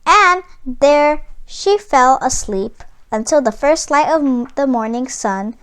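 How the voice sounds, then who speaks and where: Female storybook narrator, high and animated in intonation, with an unhurried read-aloud pace for children. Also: clear, resonant tone. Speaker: storybook reader.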